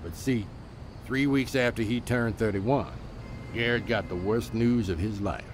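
An actor playing the storyteller of a radio drama, delivering scripted narration. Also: male voice, on the low side, measured pace, over loud music, lightly acted. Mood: narrating. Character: male storyteller narrating a true-life drama.

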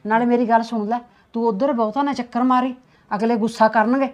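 A woman playing a middle-aged mother who bosses her household and scolds loudly in a comic family skit. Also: a little high, fairly even intonation, fairly quick, dull audio, close to an everyday voice. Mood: scolding.

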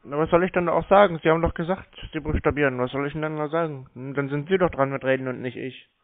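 Guy on a bad phone line, grumbly n argumentative, acting slow on purpose. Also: fairly high pitch. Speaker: scambaiter posing as a victim on a phone call. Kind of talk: phone conversation, playing a slow customer. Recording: muffled lo-fi phone call.

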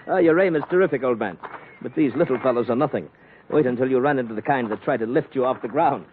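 An actor playing a brisk, courteous British colonial police lieutenant in an old radio adventure. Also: male voice, medium pitch, a little hiss or hum, natural voice. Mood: hearty, commanding. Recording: muffled old radio recording.

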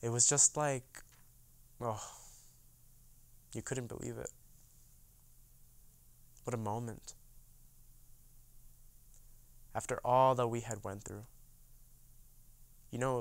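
A young man playing a proud union boycott veteran in a theatrical, first-person storytelling monologue. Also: medium pitch. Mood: awed. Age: young adult. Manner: theatrical storytelling, acts out dialogue. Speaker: actor in oral history dramatization.

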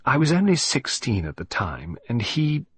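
A man's voice: medium pitch, very animated, moderate pace, slightly rough, dry recording. A man voicing a frightened young upper-class lady, hesitant and pleading. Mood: distressed. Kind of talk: audiobook reading.

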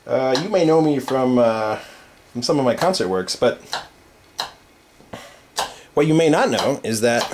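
A man's voice: mid-range voice, background noise. A young man, a composer, hosting a joking home cooking show in a small kitchen, rambling mock-earnestly with lots of fillers. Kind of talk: tongue-in-cheek casual cooking show talk. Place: small home kitchen with brick wall, indoors.

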